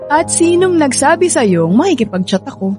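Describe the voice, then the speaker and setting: Sassy, high-voiced young woman. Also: sing-song, moderate pace, music in the background. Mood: sarcastic, firm. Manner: teasing, brusque, sarcastic. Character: sassy, guarded young woman working at a computer shop. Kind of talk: Filipino radio drama acting.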